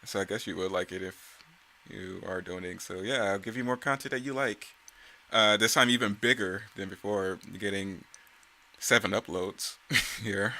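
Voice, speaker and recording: Bright-voiced man rambling through casual commentary. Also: lowish voice, moderate pace. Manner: rambling, self-correcting, giggly. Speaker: creator giving commentary on own motion comic series.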